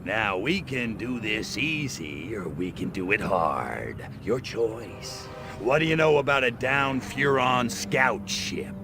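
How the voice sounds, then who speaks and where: A man playing a small, cocky, sadistic alien invader in a video game, with a gravelly, sardonic, menacing drawl. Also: lowish voice, lively intonation, slow pace, hardly any pauses. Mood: mocking menace. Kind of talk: video game cutscene acting. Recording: music behind the voice.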